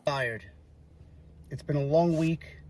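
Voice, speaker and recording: A man's voice: fairly high pitch, slow pace, darkish tone. A man with a sore voice, ranting indignantly on a live stream, lecturing with rhetorical questions. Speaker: online commentator.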